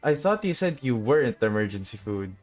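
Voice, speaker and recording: A man's voice: mid-range voice, big pitch swings, brisk, music in the background. A man giving casual, joking reactions as a streamer playing through a game. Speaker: gaming streamer. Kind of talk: casual game playthrough commentary.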